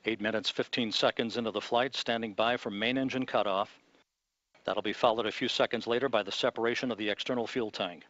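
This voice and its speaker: A man giving live launch commentary from mission control, in a measured, calm announcer delivery. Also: lowish voice, dry recording, muffled recording.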